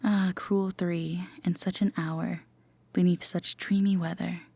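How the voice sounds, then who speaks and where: Soft, slow-spoken, mellow girlfriend played by a woman. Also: on the low side, little pitch movement, dry recording, exaggerated voice. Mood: soothing, storytelling. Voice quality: soft, breathy half-whisper. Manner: hesitant, tender, gently playful. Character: gentle, teasing, affectionate girlfriend soothing a sleepless partner. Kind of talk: intimate roleplay sleep-aid audio, soft bedtime reading.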